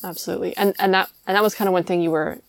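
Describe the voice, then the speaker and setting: A woman's voice: medium pitch, steady tone, rapid-fire, creaky, dry recording, clean studio sound. A young woman hosting a podcast, chatting casually and laughing often. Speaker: podcast host. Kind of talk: casual chat.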